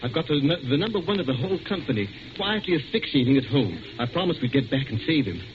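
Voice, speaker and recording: An actor playing an urbane, witty English aristocratic detective in a 1940s radio drama, with a breezy, ironically polite British manner. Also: male voice, medium pitch, very animated, fast talker, lightly acted. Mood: breezy, wry. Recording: muffled, noisy 1940s radio recording.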